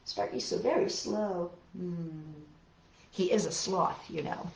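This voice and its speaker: A woman's voice: fairly low pitch, takes it slowly, slight background noise. Woman storytime host in a roomy recording. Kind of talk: storybook read-aloud with chatty asides to children. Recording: roomy, dull lo-fi sound.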